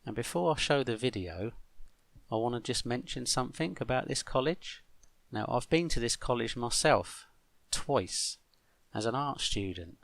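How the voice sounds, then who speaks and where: Man, middle-aged, gravelly fry, dry recording, deliberate phrasing, grumbling video monologue. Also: mid-range voice, expressive, fairly bright tone, no room sound. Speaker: host of an online video channel. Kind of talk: monologue to camera for a vlog.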